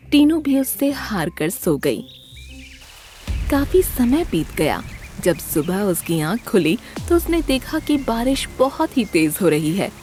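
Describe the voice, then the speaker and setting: A woman narrating a scripted children's story in an expressive storytelling manner. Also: fairly low pitch.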